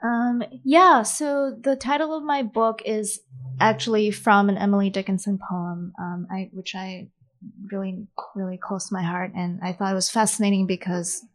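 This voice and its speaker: A woman whose first language is not English, answering a panel question in a thoughtful, measured way with many fillers. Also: mid-range voice, steady tone. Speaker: author on a panel.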